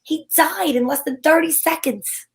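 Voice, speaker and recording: High-voiced breezy lady sleuth played by a woman. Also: vocal fry. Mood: wry, matter-of-fact. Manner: brisk, sensible, wry. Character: Candy, breezy take-charge female amateur sleuth. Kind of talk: old-time radio mystery acting with first-person narration.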